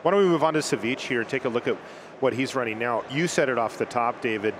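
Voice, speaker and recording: A man's voice: mid-range voice, fast. Male esports commentator, noisy booth. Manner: energetic, conversational with fillers. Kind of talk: play-by-play commentary.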